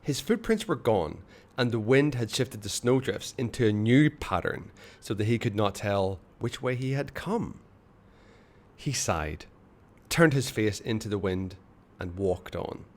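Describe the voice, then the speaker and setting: Storyteller, male, fairly low pitch. Expressive book narration, measured and dramatic, with pauses. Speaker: storyteller reading a children's book aloud.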